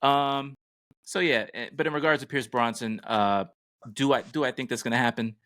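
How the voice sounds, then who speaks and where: A man's voice: medium pitch, moderate pace, a bit bright, dry recording. A man co-hosting a podcast from a home studio, talking in a casual, conversational way. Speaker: podcast co-host. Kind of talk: casual chat.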